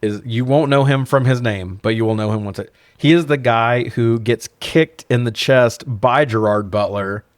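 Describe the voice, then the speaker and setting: A man's voice: medium pitch, moderate pace, hardly any pauses, no echo, studio quality. A man co-hosting a podcast in a casual film review chat, opinionated and joking, with some swearing. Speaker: podcast co-host.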